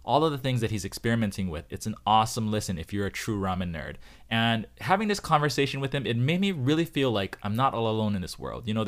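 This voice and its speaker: Chatty guy in his 30s hosting a podcast, kinda low voice, eager. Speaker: podcast host. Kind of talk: casual podcast interview.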